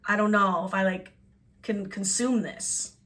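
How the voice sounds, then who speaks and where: A woman's voice: mid-range voice, a little slow. Chatty young woman hosting a podcast. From Buffalo, New York. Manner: chatty, animated, trails off and restarts. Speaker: podcast host. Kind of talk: casual chat.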